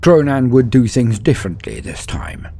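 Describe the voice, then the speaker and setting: A man with a husky, slightly breathy voice tells a comic tale in a grand, mock-heroic manner. His pitch swings widely as he drops into dry asides, with soft music playing under him. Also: lively intonation, normal speed. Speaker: narrator of a fan story. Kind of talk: mock-epic comedic narration.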